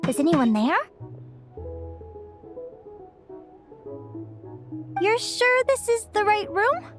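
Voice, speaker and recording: Young girl game heroine, very high pitch, lively swings, eager, stubborn, emotional, music behind. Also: moderate pace. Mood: curious. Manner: earnest, expressive, insistent. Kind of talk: anime-style video game voice acting.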